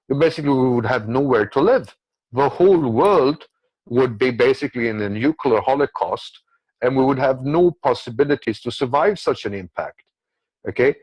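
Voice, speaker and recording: A man's voice: medium pitch, a little mellow, no echo, poor audio. A man who is not a native English speaker gives rambling, repetitive, unscripted political commentary, often checking in with the listener. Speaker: guest commentator.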